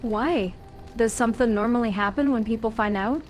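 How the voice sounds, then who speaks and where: A woman plays a caring young warrior heroine in a fantasy game. Her voice is a bit high and measured, with a calm, gently probing manner. Music runs behind the dialogue. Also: close to an everyday voice. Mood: questioning. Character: calm, caring female Grey Warden heroine. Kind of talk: video game dialogue acting.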